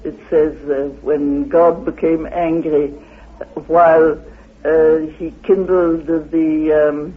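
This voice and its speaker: A man being interviewed, explaining his ideas in a halting, deliberate manner, asking and answering his own questions and reciting quotations. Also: fairly high pitch, little pitch movement. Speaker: interviewee expounding his theory. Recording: phone-like, muffled old radio recording.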